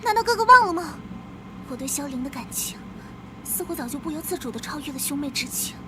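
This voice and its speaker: A woman playing a devoted young noblewoman in an animated court romance. Her voice is extremely high, earnest and emotional, with clear noise behind it. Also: fast talker. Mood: pleading, tender. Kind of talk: dramatic period animation dubbing.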